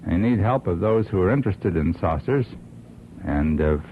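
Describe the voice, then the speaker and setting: Very deep, formal American man on old radio. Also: lively intonation. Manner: formal, deliberate, halting phrasing. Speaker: panel guest, organization member. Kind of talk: radio interview testimonial. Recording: muffled old radio recording, faint rumbling noise.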